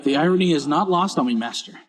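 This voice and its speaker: A man's voice: fairly high pitch, lively intonation, quick pace, close to an everyday voice. A man playing an earnest, curious apprentice in a fantasy audio drama, speaking in a puzzled, questioning way. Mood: inquisitive.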